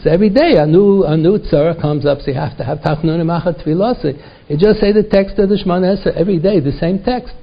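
A man's voice: sits high, quick pace. An older American man, a rabbi giving a Torah lecture to a congregation, repeating words and asking rhetorical questions, peppered with Yiddish and Hebrew terms. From United States. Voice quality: gravelly, husky. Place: synagogue lecture. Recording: dull lo-fi recording.

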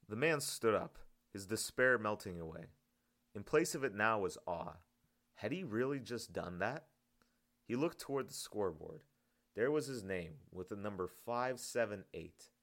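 A man's voice: sits low, fairly bright tone. A man reading his own story as scripted audiobook narration.

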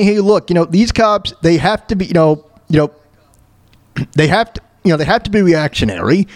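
A man with a high, very animated voice hosting a show. He comments on news in a blunt, emphatic way, often stumbling, repeating himself and rambling as he goes. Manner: halting, repetitive, rambling delivery. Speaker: show host. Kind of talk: opinionated commentary on news clips.

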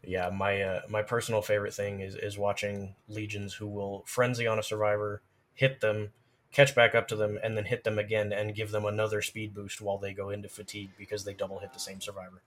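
A man's voice: lowish voice, level tone, brisk, fairly bright tone. A man chatting casually as a guest, explaining video game play in detailed, analytical fashion. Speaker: podcast guest, gamer.

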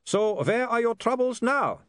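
A man voicing a cheerful, unflappable and politely fussy male vampire in an audiobook, brisk and matter-of-fact. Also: very high-pitched, expressive, fairly bright tone, dry recording, voice pushed up, heavily acted. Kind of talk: audiobook character dialogue.

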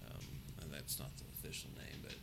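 A middle-aged engineering professor talking casually in a university lab, with a low, mumbling voice that halts and restarts. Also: male voice, level tone, creaky, very noisy. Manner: halting, restarts and trails off. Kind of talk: casual conversation. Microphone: shared podcast mic.

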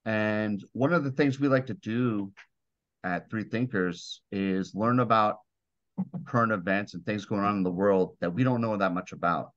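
A man's voice: on the low side, moderate pace, a bit bright, dull audio. A man hosting a podcast, introducing a guest and asking questions with a few stumbles. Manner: friendly, slightly stumbling questions. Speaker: podcast host.

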